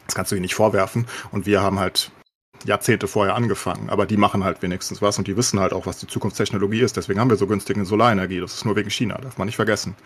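A man's voice: fairly low pitch, breathy edge. A man in a casual, opinionated discussion as a podcast co-host, talking colloquially with English swear words thrown in.

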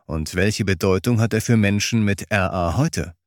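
A man narrating a scripted documentary story in a measured, clearly articulated way. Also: on the low side, dry recording, clean studio sound.

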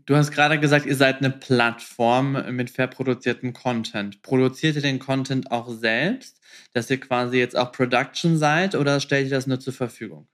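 A man's voice: medium pitch, steady tone, normal speed, fairly bright tone. A man speaking as a podcast host, putting questions to a guest in a conversational way.

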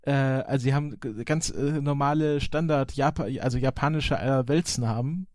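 A man with a medium-pitched voice chats as a podcast co-host. He explains things casually, restarting phrases along the way, in a dry, close sound. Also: moderate pace, darkish tone, no room sound.

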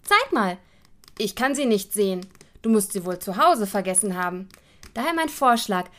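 A woman's voice: mid-range voice, big pitch swings, normal speed, fairly bright tone. A woman playing a headstrong young princess in a fairy-tale audio play, flippant and dismissive. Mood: mocking.

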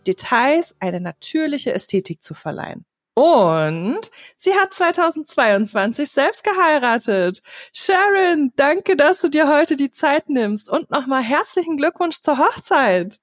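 Chatty woman host, 30s, animated delivery, dry close mic, soft music bed. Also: sits high, dry recording. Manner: lively, chatty, laughs often. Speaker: podcast host, wedding planner. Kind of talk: podcast interview, casual chat. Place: home.

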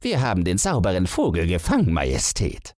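A man's voice: sits low, wildly swooping pitch, a little fast, darkish tone, no echo, studio quality. A man voicing a garrulous older horseman, a grumbling and mocking servant of the king in a fairy tale audiobook. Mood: exasperated.

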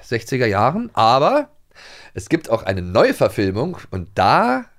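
A middle-aged man from the former East Germany, co-hosting a podcast in casual chat, animated, often restarting and repeating words. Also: mid-range voice, unhurried. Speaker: podcast co-host.

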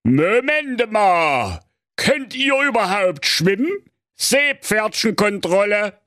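A man voicing a bossy, menacing big bad wolf disguised as a lifeguard, in a drawn-out, mock-stern storybook delivery. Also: high-pitched, very animated, sharp tone, dry recording, studio quality, pitched above the performer's own voice, exaggerated voice.

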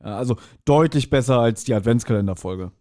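A man's voice: mid-range voice, brisk, warm tone. A man chatting casually as a podcast co-host reviewing an audio drama, colloquial in his wording.

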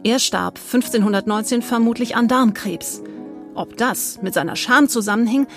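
A woman's voice: a little high, moderate pace. A woman narrating scripted historical anecdotes in a storytelling style. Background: soft plucked guitar music.

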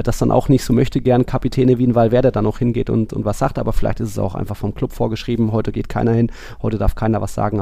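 Gravelly, mellow-voiced man talking football. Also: medium pitch, no room sound, clean studio sound. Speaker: football podcast co-host. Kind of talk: casual football analysis chat.

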